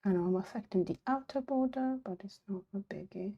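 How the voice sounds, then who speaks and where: A woman with an Italian accent, streaming as she draws, chatting quietly in murmured asides, her voice slightly croaky today. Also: medium pitch, brisk, lo-fi sound. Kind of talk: casual live-stream chat while drawing.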